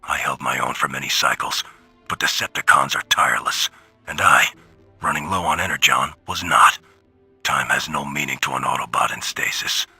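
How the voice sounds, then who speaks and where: A man's voice: a bass voice, normal speed, gravelly, pushed and bright, slightly rough, no room sound, soft background music, exaggerated voice. A man playing a proud, weary robot scout, brooding and self-important in a dramatic audio log.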